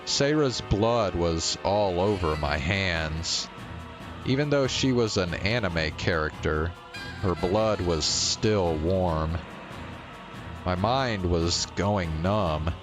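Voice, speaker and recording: Deep-voiced man reading slowly over music. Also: animated. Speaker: streamer reading a visual novel aloud. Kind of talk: dramatic first-person narration, reading game text aloud.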